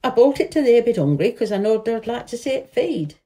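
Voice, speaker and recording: A friendly country lad with a Yorkshire accent, played by a woman reading a storybook. He is gentle and homely, talking in broad dialect with a mellow tone. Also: a little high, sing-song, fast, voice pushed up.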